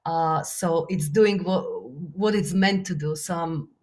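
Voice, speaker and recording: A woman's voice: on the low side, slow, darkish tone. A woman from Slovakia, a native Slovak speaker, talking as an author and business coach in an interview, thoughtful with frequent fillers and easy laughter. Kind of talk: interview guest.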